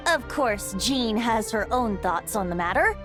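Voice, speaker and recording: A woman's voice: a little high, lively intonation, a little slow, music in the background. A woman playing a genial, poetic, poised lady in a video game, courteous and lyrical in manner. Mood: reflective.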